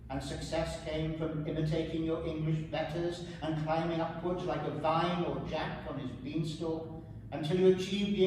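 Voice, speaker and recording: A man in his seventies from Wales, reading his own poems in a measured, deliberate way, with quiet asides to a small audience. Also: on the high side. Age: 70s. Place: gallery room. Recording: echoey hall, distant mic, background noise.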